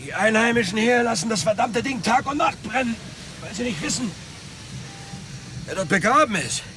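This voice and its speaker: A man's voice: extremely high pitch, expressive, normal speed, echoey room, noisy background. An actor playing an agitated man in a radio drama, pleading and swearing emotionally.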